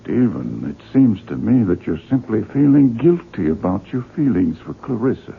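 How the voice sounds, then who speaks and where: An actor playing an eager, avuncular book publisher in a 1970s radio drama, emphatic and coaxing. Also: male voice, fairly low pitch, sing-song, moderate pace, vocal fry. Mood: doubtful, persuading. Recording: tinny vintage radio, faint noise.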